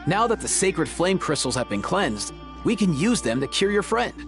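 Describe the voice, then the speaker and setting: A man's voice: sits high, sing-song, moderate pace, music in the background. A man voicing a kindly, earnest young healer in a video game. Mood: grateful, encouraging. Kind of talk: video game character acting.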